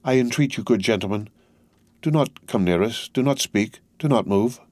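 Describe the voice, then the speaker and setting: A man reading aloud, voicing a young woman who gently and earnestly pleads with her father. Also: on the low side, normal speed, no room sound, lightly acted. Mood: hushed. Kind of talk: audiobook character voice.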